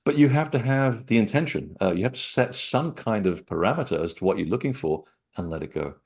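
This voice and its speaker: A man from Portugal, a guest author, telling digressive stories in an animated way from his small apartment living room over a computer call. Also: lowish voice, fairly quick, husky. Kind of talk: rambling storytelling interview.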